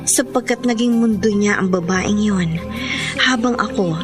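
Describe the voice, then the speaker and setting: A woman's voice: medium pitch, a little slow, lightly acted. An actress with a Filipino accent playing a wistful, lovelorn woman confiding her secret heartbreak in a radio drama narration. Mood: sad, resigned. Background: music bed.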